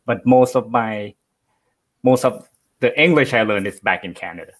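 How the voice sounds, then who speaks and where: A young man from Thailand whose first language is Thai, speaking English as a guest on a live video call, softly and with hesitant pauses. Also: medium pitch. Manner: soft-spoken, hesitant. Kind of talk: casual live chat.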